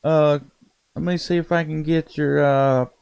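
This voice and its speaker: Guy on ham radio, bit high voiced with some gravel, just chattin easy and folksy. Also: fairly even intonation, a little slow, darkish tone. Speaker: amateur radio operator and live streamer. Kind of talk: casual ham radio chat.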